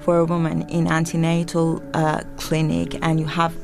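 A woman's voice: low-pitched, monotone, moderate pace, gravelly, a little mellow, soft background music. A woman from Iran whose first language is Farsi, a midwife guest in an interview, talking hesitantly with many fillers and restarts. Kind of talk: interview conversation.

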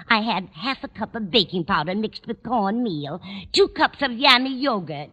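A woman's voice: on the high side, fairly bright tone, lo-fi sound. A woman playing a dotty, well-meaning landlady with a fussy, earnest manner in a 1940s radio comedy. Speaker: actor in radio situation comedy.

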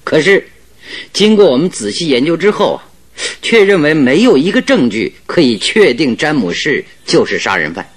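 Analytical detective, radio drama: confident, measured reasoning, lively intonation, music bed. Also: male voice, fairly high pitch, slightly rough. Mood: firm. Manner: measured, reasoning, rhetorical questions. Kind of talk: Mandarin radio drama acting.

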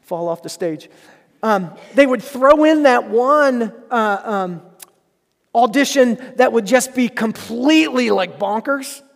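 A man's voice: extremely high pitch, animated, slow pace, creaky. A man preaching a sermon as pastor, earnest and emphatic, repeating phrases for stress. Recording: preached in a hall with long reverb.

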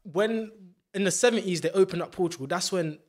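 A young man talks in a casual chat. His voice sits fairly high for a man. He is earnest and reflective, and he often trails off and restarts. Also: brisk. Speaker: podcast guest.

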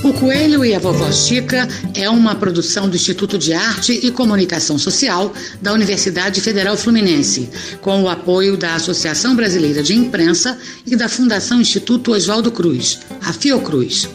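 A woman narrator with a Brazilian accent and a mid-pitched voice. She reads a scripted story in a storytelling style, with music faintly underneath. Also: expressive, moderate pace.

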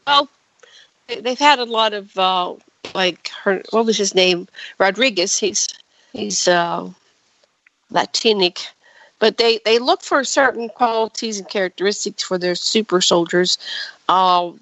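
A woman in her sixties hosting a radio interview, chatty and quick to jump in. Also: mid-range voice, a bit bright, dry recording, rough recording. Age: 60s. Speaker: radio host.

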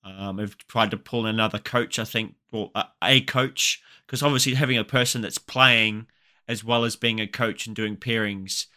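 A man with an Australian accent hosting a casual podcast chat, easygoing and quick to laugh. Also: on the low side, steady tone, pushed and bright. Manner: easygoing, laughs often, trails into fillers. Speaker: podcast host.

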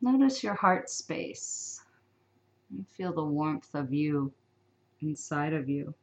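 A woman leading a guided meditation in a soothing, unhurried manner, with long pauses between phrases. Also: sits low, expressive, darkish tone.

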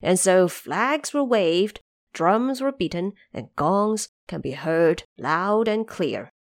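A woman narrator telling a scripted fantasy tale in a theatrical audio drama style, with deliberate dramatic emphasis. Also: lowish voice, animated.

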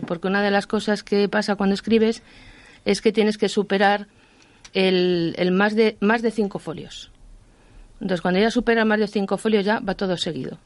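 A woman from Madrid with a Madrid accent, a guest author chatting in a radio interview and acting out the voices she quotes. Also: medium pitch. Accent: Madrid Spanish. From Madrid, Spain.

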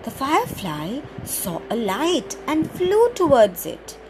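A woman with a high, very expressive voice that swoops up and down as she reads a picture book to children. She takes her time, in a slow, sing-song storytime manner, with faint noise in the background. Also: low-quality recording. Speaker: children's storyteller podcast host.

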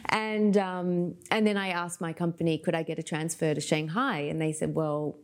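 A woman in her thirties from Australia, a yoga teacher telling her life story as a podcast guest in a thoughtful, unhurried way. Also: fairly low pitch, fairly even intonation. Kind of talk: reflective storytelling interview.

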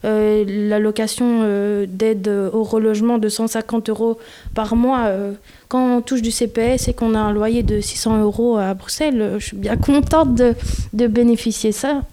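Young Belgian woman, mellow voice. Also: a little high, fairly even intonation, normal speed. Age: 20s. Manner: candid, hesitant, with many fillers. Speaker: guest. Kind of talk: casual conversation.

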